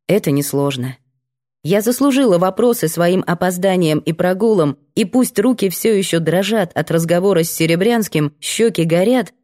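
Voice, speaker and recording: A woman's voice: lowish voice, a little mellow. A woman reading a first-person novel aloud as scripted audiobook narration.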